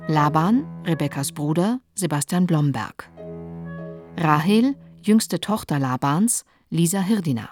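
A woman reads the credits of a radio drama in a crisp, formal tone. Her voice sits a little low, with clear instrumental music playing behind her. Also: lively intonation, a little slow, a little mellow. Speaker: announcer. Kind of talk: credits announcement.

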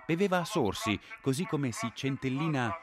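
A man as an animated soldier storyteller. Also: medium pitch, normal speed, music in the background, natural voice. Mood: reflective. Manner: storytelling, descriptive. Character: soldier recalling his commanding officer, measured and literary. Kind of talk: dramatic narration in a radio play.

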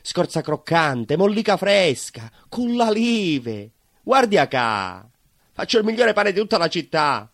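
A man's voice: sits high, sing-song, unhurried, fairly bright tone, no room sound, clean studio sound, heavily acted. A man playing an imprisoned baker, bitter, lovelorn and simmering with rage, in a theatrical monologue with a Calabrian southern Italian accent and short, repeated phrases. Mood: boastful, proud. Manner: short broken phrases, insistent repetition. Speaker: stage actor in a monologue.

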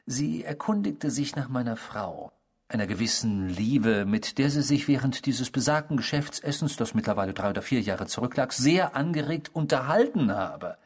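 Casting: creepy obsessive confessor for radio drama, husky mid-range voice, theatrical, savouring, unrepentant. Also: male voice, medium pitch, lively intonation, normal speed. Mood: amused, lively. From the Netherlands (character). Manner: theatrical, savouring, unrepentant, mimicking the people quoted. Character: eerie, obsessive male stranger confessing a past crime. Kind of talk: radio drama dialogue, first-person storytelling.

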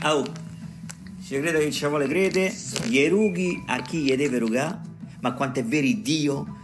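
A man's voice: medium pitch, natural voice. Man acting garrulous male caretaker, Roman accent, earthy and emphatic, colloquial radio-play monologue, rambling asides, music underneath. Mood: insistent, earthy. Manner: colloquial, digressive, emphatic, addressing listeners directly. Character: earthy garrulous male health-office caretaker, smallpox survivor and storyteller. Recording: clear music behind the voice.

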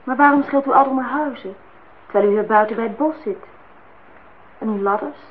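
A woman's voice: a little high, brisk, narrow, tinny audio, lightly acted. An actress playing a forthright, teasing young woman in a naturalistic radio drama, on a noisy old recording. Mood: probing, forthright. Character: cool, teasing, independent young woman visitor.

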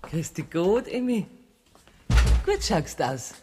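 An actress playing a proud, self-assured woman swindler in a radio play, with a husky voice and Bavarian accent. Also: on the low side, sing-song, slow pace, noisy background. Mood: greeting, lively. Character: proud, defiant woman swindler banker in prison. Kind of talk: German radio play acting. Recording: reverberant 1970s radio drama studio.

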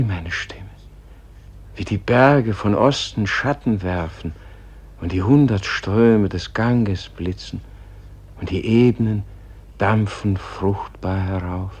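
Gentle old man in a radio play, deep-ish voice, really slow, solemn, lyrical, trails off hushed. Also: slightly rough. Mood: awed. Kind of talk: German radio play acting, solemn and poetic.

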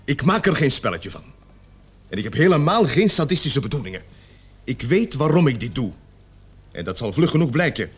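An actor playing a stern, insistent police officer in a radio play, questioning formally and with authority. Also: male voice, medium pitch, very animated, brisk, long pauses, husky. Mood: stern, commanding. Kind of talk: Dutch radio play acting.

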